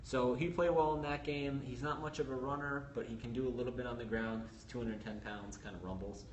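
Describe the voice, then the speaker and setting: A man co-hosting a casual sports podcast, explaining stats and game outlooks in a brisk, analytical way. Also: mid-range voice, fairly even intonation. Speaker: sports reporter co-host. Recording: roomy echo with faint ambient noise.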